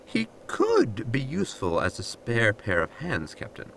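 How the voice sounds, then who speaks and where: Male android servant, acted by a man, blunt, politely needling, gravelly creak, hugely varied pitch, sci-fi radio comedy. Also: wildly swooping pitch, measured pace, fairly bright tone, slight background noise, heavily acted. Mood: matter-of-fact explaining. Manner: formal, matter-of-fact, deferential "sir". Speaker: voice actor in audio drama. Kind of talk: sci-fi sitcom audio drama acting.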